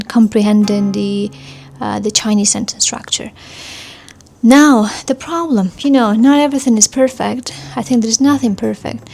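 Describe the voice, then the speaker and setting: A woman's voice: medium pitch, a little mellow. A woman whose first language is Armenian, giving a casual, thoughtful vlog review in English with frequent fillers and restarts. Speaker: vlogger. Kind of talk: casual talking-to-camera review.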